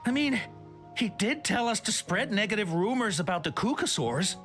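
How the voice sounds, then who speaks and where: A man's voice: high-pitched, very animated, normal speed, fairly bright tone, soft background music. A man voicing a nervous captured male thug in a video game, stammering as he speaks.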